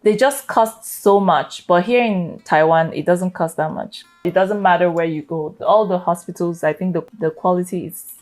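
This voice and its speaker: A woman with a Nigerian accent chatting as a guest in a casual interview, relaxed and quick to laugh. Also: on the low side, faint music behind. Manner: relaxed, conversational, laughs often.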